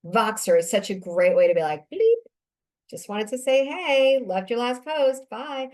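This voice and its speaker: A woman's voice: fairly high pitch, lively intonation, a little slow, darkish tone. A woman hosting a casual podcast interview, talking in a conversational, affirming way. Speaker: podcast host.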